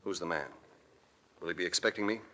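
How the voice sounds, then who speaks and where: Deep-voiced, wry American radio detective. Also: male voice, brisk. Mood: wry, unruffled. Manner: deadpan, sardonic, dry understatement. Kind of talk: 1940s radio detective acting and narration. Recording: vintage radio recording with light hiss.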